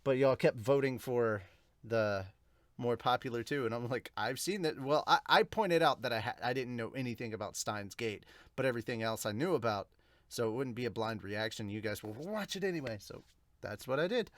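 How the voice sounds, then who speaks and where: A man's voice: medium pitch, moderate pace, no room sound. Casual male podcast host, close dry sound. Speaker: host of a manga reaction podcast. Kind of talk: casual chat to viewers and manga read-aloud with commentary.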